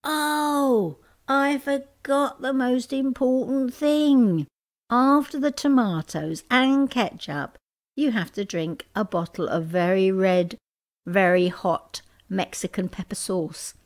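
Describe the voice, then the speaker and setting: Woman as a high-pitched mischievous schoolboy trickster. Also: slow pace. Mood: mock-thoughtful. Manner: mock-thoughtful, explanatory. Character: mischievous schoolboy trickster, feigning thoughtful expertise.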